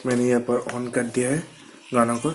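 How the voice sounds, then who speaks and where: A man's voice: medium pitch, flat delivery, normal speed, a little mellow, a little hiss or hum, poor audio. A man giving a casual, step-by-step hands-on product tutorial, often checking that the listener follows. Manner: explains step by step with frequent check-in words. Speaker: product reviewer vlogger.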